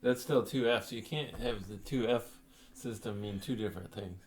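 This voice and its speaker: A man's voice: on the low side, moderate pace. A man chatting casually as a panelist on a board game review podcast.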